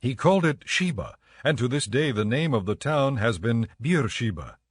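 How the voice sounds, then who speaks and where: A man narrating scripture in a measured, formal, scripted style. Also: medium pitch, animated, breathy edge, no echo, studio quality.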